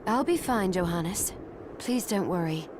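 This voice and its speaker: A woman's voice: fairly low pitch, lively intonation, unhurried, noisy background. A woman playing a kind, resolute young female fighter in a video game. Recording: loud music behind the voice.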